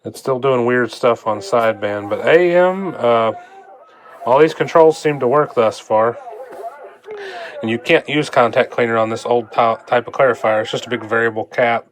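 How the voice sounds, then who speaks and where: Man, mid-pitched voice, informal and folksy, thinking aloud, hands-on repair demo, noticeable background noise. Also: moderate pace. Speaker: hobbyist repair vlogger. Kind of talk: casual hands-on repair demonstration. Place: indoors at a repair workbench. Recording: clear steady background noise.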